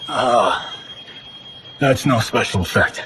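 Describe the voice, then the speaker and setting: An actor playing a cocky, flippant Hollywood action star in a video game, with a sarcastic, theatrical delivery. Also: male voice, medium pitch, slow pace, very noisy, close to an everyday voice. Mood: baffled. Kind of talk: cinematic video game acting.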